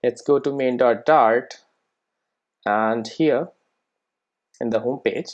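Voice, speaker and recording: Coding tutorial presenter, medium pitch, informal, thinks aloud, frequent check-in questions. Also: slow, lo-fi sound. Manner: checks in with rhetorical questions and tag "right?"; thinks aloud while typing code. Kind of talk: informal screen-recorded programming tutorial.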